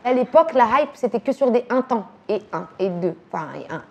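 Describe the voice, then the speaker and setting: A woman from Belgium in her thirties, talking casually and quickly about music and dance, using slang and repeating words. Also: on the high side, lively intonation, slightly rough, dull audio. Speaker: actress and director talking about her influences. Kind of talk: casual chat.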